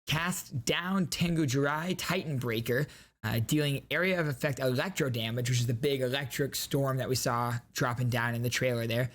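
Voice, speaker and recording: A man hosting a gaming channel, giving enthusiastic, casual reaction commentary and reading out game details. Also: medium pitch. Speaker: gaming YouTuber host.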